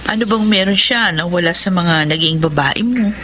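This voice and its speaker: An actress playing a principled, traditional young woman, measured and gently admonishing, in a radio romance. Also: lowish voice, slight background noise. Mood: surprised, probing. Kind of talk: Tagalog radio drama acting. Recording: radio drama with music behind. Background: music.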